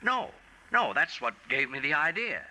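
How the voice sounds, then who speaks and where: Shifty, ingratiating crook, lively and sharp-toned, halting, on a faintly noisy vintage radio recording. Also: male voice, a little high, heavily acted. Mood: persuasive. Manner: hesitant, ingratiating, evasive. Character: smooth-talking, evasive male small-time schemer. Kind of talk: 1940s radio acting.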